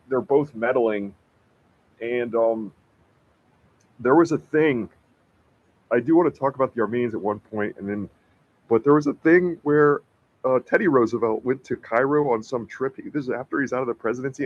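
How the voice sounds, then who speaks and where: Husky, rambling man, lo-fi audio. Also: medium pitch, normal speed. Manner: rambling, slangy, filler-heavy with frequent "like". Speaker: podcast co-host discussing books. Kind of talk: casual chat. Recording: dull, lo-fi audio.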